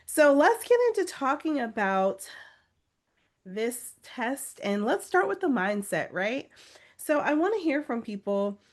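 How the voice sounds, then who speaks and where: A woman's voice: on the high side, sing-song, measured pace. A woman coaching test-takers on a live video webinar, reading comments aloud and urging them on with emphatic repetition. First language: English. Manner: encouraging, pleading, emphatic repetition.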